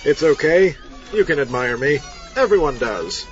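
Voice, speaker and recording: An actor playing a vain, self-assured heroic doctor, pompous and grandly deliberate, in a comedy audio drama. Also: male voice, fairly high pitch, sing-song, highs cut off, over music. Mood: smug, commanding.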